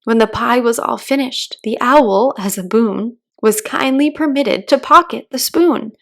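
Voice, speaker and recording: A woman's voice: on the high side, a little slow, creaky, a bit bright. A woman voicing a timid, polite little girl who speaks earnestly, as if reciting, in a children's storybook. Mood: uneasy reciting. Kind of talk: children's storybook read-aloud.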